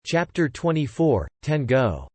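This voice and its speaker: A lively, varied mid-pitched male voice, recorded close and dry. He reads scripted fiction as an audiobook narrator. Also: measured pace, no echo.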